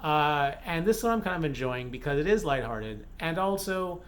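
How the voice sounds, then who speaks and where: A man's voice: fairly high pitch, lively intonation, normal speed. Rambling man giving a casual vlog update. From the Bahamas. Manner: rambling, frequent fillers and self-corrections. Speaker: romance author and vlogger.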